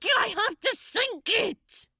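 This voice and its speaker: A woman's voice: very high voice, expressive, slow, bright, forceful tone, no echo, studio quality, voice pushed up, heavily acted. A woman voicing a male demon in an audiobook, despairing and straining, panting through clenched teeth. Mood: desperate. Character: anguished male demon, straining against forced orders. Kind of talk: dramatic fan fiction audiobook acting.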